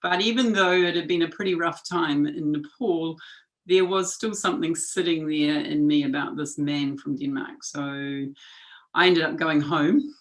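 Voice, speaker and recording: A woman in her fifties with a New Zealand accent, a guest recounting anecdotes in a lively way in a podcast interview. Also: deep voice, moderate pace. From South Island of New Zealand. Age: 50s.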